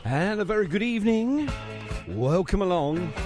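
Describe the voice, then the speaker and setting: A man's voice: high-pitched, lively intonation, moderate pace. A man hosting a radio show in a chatty, jovial, bantering manner. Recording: music playing underneath the voice. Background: music bed.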